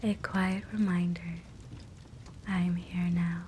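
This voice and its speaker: A woman guiding a sleep hypnosis meditation in a soft, breathy whisper, gentle and soothing. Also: on the low side, takes it slowly. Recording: soft steady fireplace crackle noise. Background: fireplace ambience.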